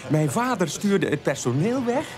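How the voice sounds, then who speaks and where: The ironic grown child of a punishing father played by an actor recounts memories in a radio drama. The delivery halts at times and swings widely in pitch, over clearly audible music. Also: big pitch swings, normal speed, lightly acted. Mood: animated recollection. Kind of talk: animated radio drama storytelling. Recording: music behind the voice.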